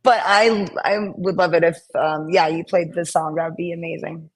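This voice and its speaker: A young woman with a raspy, husky voice talks through a laptop on a video call. She is a musician guest in a casual interview and rambles earnestly, with lots of fillers and restarts. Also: fairly low pitch, steady tone, normal speed.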